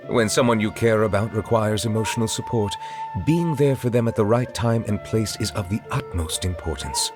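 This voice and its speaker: A man playing a formal, dignified chief judge in a video game, measured, earnest and courteous. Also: lowish voice, lively intonation, music in the background, natural voice.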